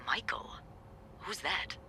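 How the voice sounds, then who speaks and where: An actress playing a sardonic, playful receptionist in a 1950s hotel mystery game, with a wry, teasing, conspiratorial manner. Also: female voice, slow pace, bright, forceful tone, whispered. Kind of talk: video game cutscene acting.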